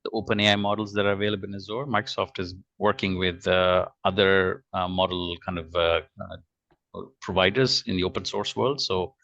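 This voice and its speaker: Deep, bright-voiced man, hesitant. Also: steady tone, moderate pace, muffled recording. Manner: hesitant, many fillers. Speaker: guest presenter. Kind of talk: webinar Q&A answers. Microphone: video call.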